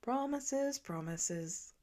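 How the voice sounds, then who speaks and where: A woman's voice: a little high, lively intonation, drawn-out words, mellow. A woman voicing a wry teenage boy in an audiobook story, teasing and sniffily dismissive of his dad. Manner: sarcastic, snickering, sniffy.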